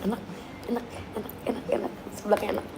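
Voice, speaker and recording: Young woman, casual storyteller, brightish pitch, room with a faint hiss behind her. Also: little pitch movement, quick pace, gravelly. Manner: chatty, giggly storyteller. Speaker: live stream co-host. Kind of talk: casual chat while eating. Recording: echoey room with faint noise. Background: clinking cutlery and dishes.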